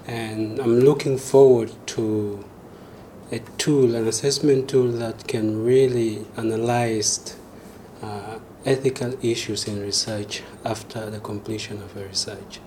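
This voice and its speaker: A man's voice: fairly low pitch, steady tone, a little slow, dark tone, slight background noise. A man with a South Sudanese accent giving a short prepared testimonial as a researcher.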